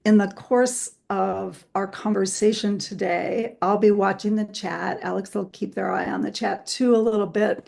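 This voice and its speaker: A woman therapist hosting an online interview. She talks in a friendly, chatty way with frequent "um" pauses, over a video call. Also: medium pitch, creaky, a little mellow. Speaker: host and therapist. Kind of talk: casual webinar introduction.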